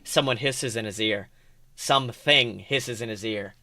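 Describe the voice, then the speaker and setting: A man co-hosting a podcast, reading fan fiction aloud as an expressive narrator. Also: mid-range voice, measured pace. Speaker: podcast co-host.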